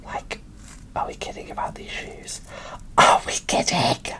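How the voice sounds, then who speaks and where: Female ASMR presenter, fully whispered and breathy, enthusiastic and gushing in a show-and-tell delivery. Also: measured pace. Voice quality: soft, breathy unvoiced whisper. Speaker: host of an ASMR doll unboxing channel.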